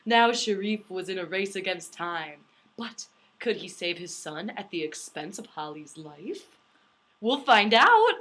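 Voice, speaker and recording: A woman's voice: mid-range voice, unhurried, slight background noise. A woman co-hosting a casual podcast, making wry asides and reading a book blurb aloud. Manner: wry, giggly, deadpan asides. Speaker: podcast co-host.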